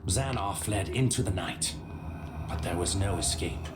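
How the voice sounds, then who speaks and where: An actor playing a cool, scheming hero who narrates his tale in a measured way for a video game. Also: sits low, animated. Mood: cool, calculating. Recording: clear background music.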